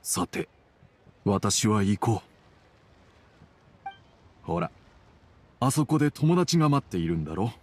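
Gruff fond father, middle-aged, formal measured speech, slightly husky, anime game dialogue. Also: fairly low pitch, sing-song, full character voice. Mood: calm, fond.

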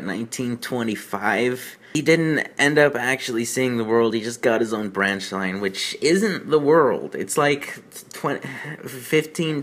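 A man's voice: medium pitch, a bit bright. A man hosting a reading show with sarcastic, rambling commentary in an exasperated, self-deprecating manner. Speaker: host of a bad fan fiction reading show.

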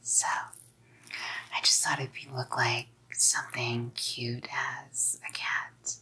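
A young woman playing an obsessive, menacingly sweet psycho girlfriend in a whispered ASMR roleplay, cooing and softly threatening. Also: a bass voice, big pitch swings, slow, gravelly, exaggerated voice. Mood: sweetly excited. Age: young adult. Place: small dim room indoors. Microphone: close ASMR mic.

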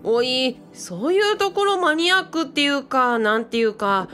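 A woman's voice: high-pitched, lively intonation, moderate pace, a bit bright, soft background music. A woman playing a modest, bookish young man in a radio drama, speaking hesitantly and matter-of-factly. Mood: modest explaining.

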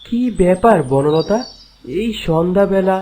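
High-voiced devoted young prince. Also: male voice, unhurried, mellow. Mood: concerned. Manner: concerned, earnest. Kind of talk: cartoon fairy-tale voice acting. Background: background music.

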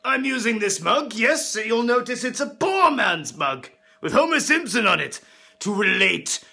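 A man's voice: sits high, very animated, a little slow, gravelly, bright, forceful tone, heavily acted. A man playing a pompous, crude rich Englishman with a mustache and monocle, in a comedy sketch video.

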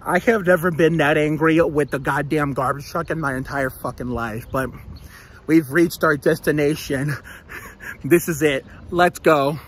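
High-voiced, excitable man vlogging outdoors. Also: a little slow. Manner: excitable, profanity-laden. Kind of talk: casual self-filmed vlog chat. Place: outdoors on a suburban street. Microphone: phone at arm's length. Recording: outdoor street noise, passing vehicles.

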